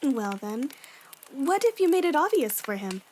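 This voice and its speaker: A woman performs a supportive young warrior in an amateur audio drama. Her voice sits high, and she speaks in a frank, encouraging, big-sisterly way. Slight noise and clicks run under the home recording. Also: very animated, normal speed, darkish tone, low-quality recording. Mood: reassuring. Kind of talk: fan audio drama acting.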